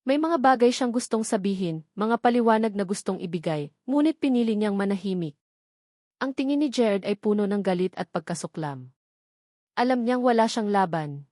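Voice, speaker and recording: A woman's voice: mid-range voice, lively intonation, moderate pace, warm tone, dry recording, clean studio sound. A woman with a Filipino accent reading a scripted dramatic story as its narrator.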